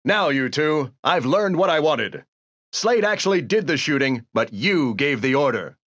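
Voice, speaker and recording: An actor playing a fearless, cocky young superhero who thinks aloud in a dramatic comic-book style. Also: male voice, on the high side, animated, a little slow, dull audio. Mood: stern, confident. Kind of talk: dramatized comic-book read-along acting. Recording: loud music under the voice.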